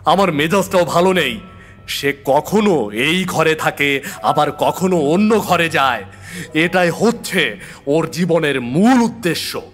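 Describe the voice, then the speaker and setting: A man's voice: a little high, expressive, slow pace, a bit bright, soft background music. A man playing an anxious, irritable man who frets and complains to his friend in an audio horror story. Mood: fretful, irritated. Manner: fretful, complaining.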